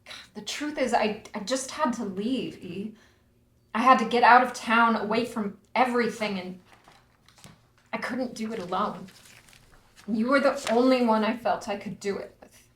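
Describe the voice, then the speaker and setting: A woman's voice: fairly high pitch, normal speed, a bit bright, lightly acted. Maggie, an actress reading a reflective woman. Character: Maggie, wistful woman on a remote trip. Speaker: actor in a table read. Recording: roomy echo.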